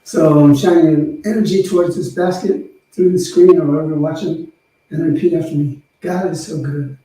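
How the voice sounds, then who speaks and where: A man speaking as a minister, informally leading prayer and blessing with halting pauses. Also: fairly high pitch, fairly even intonation, mellow. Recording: very echoey room, slightly distant mic.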